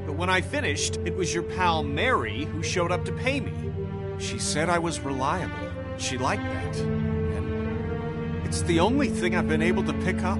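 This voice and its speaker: An actor playing a worried, proud, down-on-his-luck husband in a dramatic video game, earnest and defensive. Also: a little high. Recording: game music behind the voice.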